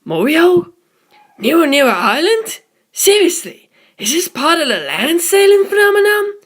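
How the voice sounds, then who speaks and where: Man voicing a high-pitched, incredulous astronaut. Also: huge pitch swings, measured pace, a bit bright, exaggerated voice. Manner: exclaiming in disbelief. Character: startled, incredulous astronaut crew member.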